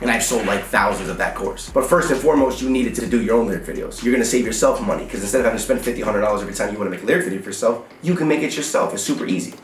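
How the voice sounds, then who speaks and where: Rapid-fire American man in an echoey room. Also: lowish voice, distant mic. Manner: blunt, emphatic, repetitive, slangy hustler talk with swearing. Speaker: music business coach and online course seller. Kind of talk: motivational how-to vlog talk. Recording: roomy echo, slightly off the mic.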